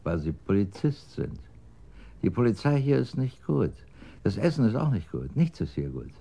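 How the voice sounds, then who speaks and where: An actor playing a surly, sly drug dealer who grumbles defiantly and evasively in a radio crime drama. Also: male voice, fairly low pitch, big pitch swings, moderate pace, creaky, dark tone, husky, full character voice. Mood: grumbling, contemptuous.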